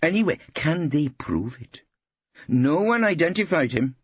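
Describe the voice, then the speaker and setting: A man's voice: a little high, expressive, unhurried, husky, dry recording, lo-fi sound, pitched above the performer's own voice. A man voicing an irreverent, ageing English barrister in an audiobook, pompous, ironic and mock-incredulous.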